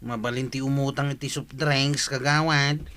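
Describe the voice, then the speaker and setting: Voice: mid-range voice, steady tone, normal speed, fairly bright tone, close to an everyday voice. An actor playing a lazy, broke, woozy tricycle driver in a comic radio drama. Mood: wheedling. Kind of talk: comedic radio drama acting.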